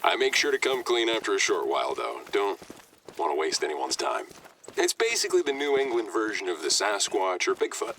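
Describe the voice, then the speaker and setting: Deep-voiced, animated, chatty game warden. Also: male voice, brisk, recorded from a distance, slight background noise, low-quality recording. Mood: chatty, playful. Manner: chatty, informal, explanatory. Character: friendly, chatty game warden, playful prankster and guide. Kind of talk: scripted video game dialogue.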